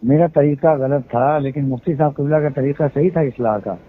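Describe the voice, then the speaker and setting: A man with a husky, somewhat high voice explains himself at length, citing religious sayings in his own defense. The sound is a thin, tinny phone call recording. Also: moderate pace. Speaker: event organizer on a phone call. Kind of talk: heated phone conversation.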